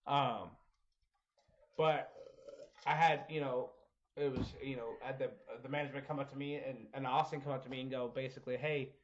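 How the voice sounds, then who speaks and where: Halting, reflective young man, lo-fi. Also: medium pitch, steady tone, a little hiss or hum. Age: 20s. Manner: halting, reflective, self-deprecating. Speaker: podcast host, former wrestler. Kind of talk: casual chat. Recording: dull lo-fi sound.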